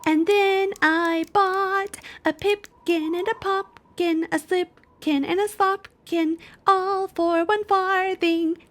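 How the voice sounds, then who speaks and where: A woman voicing a chorus of tiny, cheeky mice singing nursery rhymes in high, squeaky singsong. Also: fairly even intonation, takes it slowly, hardly any pauses, a bit bright, heavily acted. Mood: gleeful singing.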